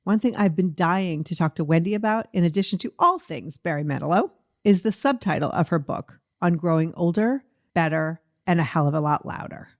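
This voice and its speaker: Slightly low-voiced woman, chatty host. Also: brisk. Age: 50s. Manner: animated storytelling, laughs readily, quotes herself and others. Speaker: podcast host interviewing an author. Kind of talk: casual, chatty interview.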